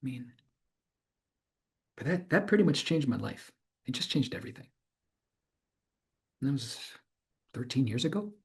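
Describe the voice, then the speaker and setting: Actor as animated, earnest middle-aged businessman, reflective. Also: medium pitch. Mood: reflective, moved. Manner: halting, searching, self-correcting delivery with emphatic swells. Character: earnest middle-aged former tech entrepreneur, devout and reflective man. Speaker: stage performer of a true-story monologue. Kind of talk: dramatized monologue in conversational interview manner.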